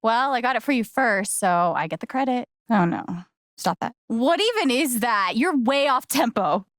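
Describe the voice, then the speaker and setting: An actress playing a young adult woman in a video game, warm, awkward and sarcastic, talking in a casual, halting, naturalistic way. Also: fairly high pitch, animated, no echo, clean studio sound. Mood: playful teasing.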